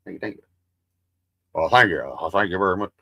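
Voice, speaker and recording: Low voiced dude rambling on his stream, swears a lot, dry close mic. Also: lively intonation, a little fast, pushed and bright, dry recording. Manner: rambling, profane, drawling; self-described soothing voice. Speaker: livestream host. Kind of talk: casual stream chat.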